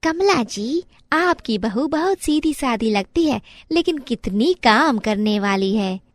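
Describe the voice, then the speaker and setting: Woman acting a slow-talking nosy neighbour auntie. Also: fairly high pitch, expressive, no echo. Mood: admiring. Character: nosy, flattering middle-aged neighbour auntie. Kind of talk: Hindi family moral-story cartoon acting.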